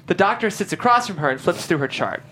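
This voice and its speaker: A woman's voice: lowish voice, lively intonation, fast, fairly bright tone, a little hiss or hum. A woman reading stage directions as scripted narration at a table read.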